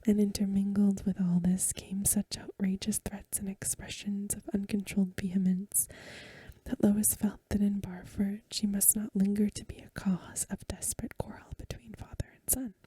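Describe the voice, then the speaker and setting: A woman reading a Victorian story for ASMR in a hushed, gentle, breathy whisper, unhurried and close to the microphone. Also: medium pitch, flat delivery, no echo. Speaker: ASMR reader.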